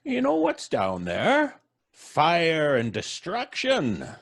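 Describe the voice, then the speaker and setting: Husky, drawling rural old man. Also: a little high, wildly swooping pitch, slow, fairly bright tone, exaggerated voice. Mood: ominous. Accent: rural American. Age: older. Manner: drawling, chuckling, taunting. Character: folksy, gloating old man, unhurried rural storyteller. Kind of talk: old-time radio horror acting.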